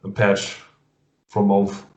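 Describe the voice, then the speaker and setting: A man with a German accent interviewing a guest over a video call in English, halting and full of fillers and restarts, laughing often. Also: fairly low pitch, monotone. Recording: muffled lo-fi sound in an echoey room.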